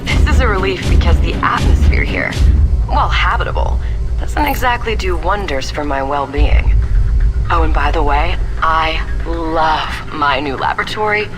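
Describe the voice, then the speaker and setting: Middle-aged woman scientist, wry, enthusiastic, game audio log, loud music underneath. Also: low voice, expressive, moderate pace, rough recording. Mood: wry, pleased. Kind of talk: scripted audio log narration.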